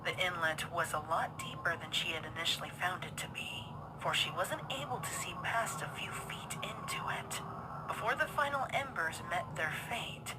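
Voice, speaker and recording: A woman reading a horror story as narrator in a dramatic, suspenseful, breathy way. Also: fairly low pitch, background noise. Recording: loud music under the voice.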